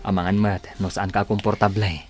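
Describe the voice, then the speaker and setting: A man's voice: low-pitched, flat delivery, a little fast, soft background music, lightly acted. A man acting as a considerate, mild young husband and dutiful son in a radio drama.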